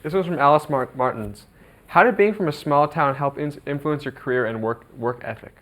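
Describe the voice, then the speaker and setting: A man's voice: on the high side, brisk, a bit bright. A man on a talk show reads audience questions aloud for the guest.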